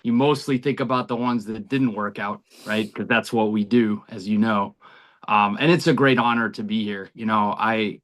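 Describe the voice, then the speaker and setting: Middle-aged man, low-pitched, earnest and reflective interview guest. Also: fairly even intonation, normal speed, fairly bright tone. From United States, near Boston. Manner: earnest, reflective, many ums and you knows. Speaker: college baseball head coach.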